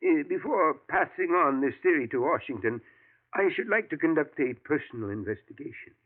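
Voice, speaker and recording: An actor playing an elderly male professor, a thoughtful scientist who talks in a measured way and chuckles often, in a 1940s radio drama. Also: on the high side, lively intonation. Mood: confiding. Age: older. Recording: tinny lo-fi old radio broadcast.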